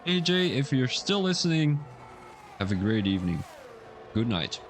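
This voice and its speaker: Young male league commentator, casual stream chatter, average pitch, excitable when calling crashes, faint background noise. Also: normal speed. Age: young adult. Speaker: sim racing league commentator. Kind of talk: casual livestream race commentary.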